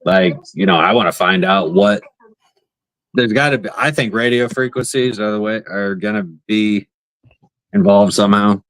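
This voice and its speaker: Laid-back low-voiced man co-hosting. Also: normal speed, fairly bright tone, no room sound, rough recording. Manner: laid-back, agreeable, short interjections. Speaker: podcast co-host. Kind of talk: casual chat.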